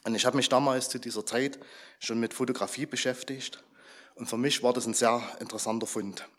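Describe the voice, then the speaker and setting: A slightly hoarse man who is under the weather gives a chatty, informal talk to a gathered congregation and keeps asking them questions. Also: mid-range voice, steady tone, fairly quick, a bit bright. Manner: chatty, asks the audience questions.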